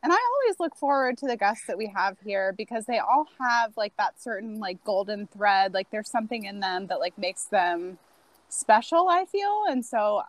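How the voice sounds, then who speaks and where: A woman's voice: medium pitch, sing-song, moderate pace, no echo. A woman hosting a podcast in casual chat, bubbly and peppered with "like". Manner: bubbly, many "like" fillers. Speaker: podcast host.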